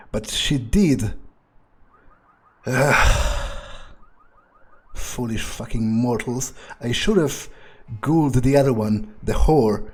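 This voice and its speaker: A man voicing an arrogant, cruel, brooding vampire in a video game roleplay, speaking haltingly with haughty menace. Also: medium pitch, darkish tone, breathy edge. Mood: contemptuous. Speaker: role-playing game streamer. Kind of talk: in-character game playthrough monologue.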